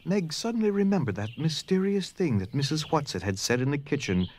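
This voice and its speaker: A man narrating a dramatized story theatrically, with dramatic pauses and hushed emphasis. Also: fairly high pitch, very animated, slight background noise. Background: music.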